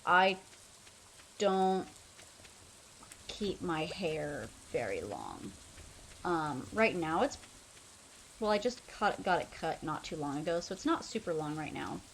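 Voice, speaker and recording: A woman's voice: low voice, very animated, takes it slowly, pauses often, slight background noise, low-quality recording. A young woman streaming a game, chatting casually in a loose, rambling way full of fillers. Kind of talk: casual chat while playing a game.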